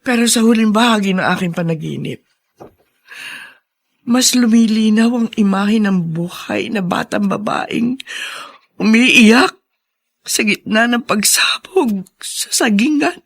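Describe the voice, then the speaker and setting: A woman's voice: medium pitch, takes it slowly, pauses often. Older filipina woman, worn out and haunted, dramatic radio soap acting. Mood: troubled. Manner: heavy-hearted, dramatic. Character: weary, troubled older woman haunted by dreams.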